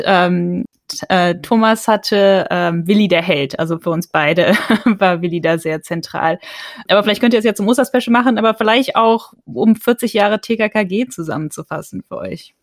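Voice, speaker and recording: A woman's voice: mid-range voice, dry recording. Woman co-hosting, dry close sound. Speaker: podcast co-host. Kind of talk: casual interview chat.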